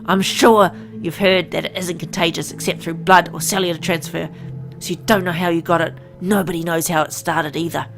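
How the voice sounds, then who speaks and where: A woman's voice: on the low side, steady tone, moderate pace, music in the background, close to an everyday voice. A woman voicing a frank, secretive medical insider in a visual novel, explaining in an earnest, conspiratorial way. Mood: frank, urgent.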